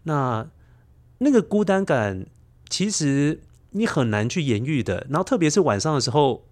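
A man's voice: on the high side, very animated, normal speed, darkish tone. A middle-aged man with a Taiwanese accent, a storyteller speaking as an interview guest and sharing personal anecdotes in conversation.

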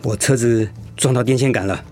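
An actor playing an overworked, dutiful middle manager and husband, weary and put-upon, in a radio drama. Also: male voice, medium pitch, a little fast, a little mellow, soft background music, lightly acted. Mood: tired, dazed.

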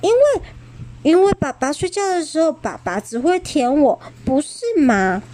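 A woman's voice: sits high, big pitch swings, moderate pace, mellow, slight background noise, pitched above the performer's own voice. A woman voicing a boastful, spoiled baby ankylosaur in a children's story, talking in a smug, childish way.

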